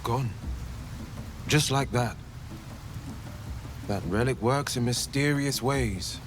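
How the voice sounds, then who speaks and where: A man voicing a brooding, thoughtful adventurer in a fantasy game, musing in measured phrases. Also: medium pitch, noisy background, close to an everyday voice. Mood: pensive.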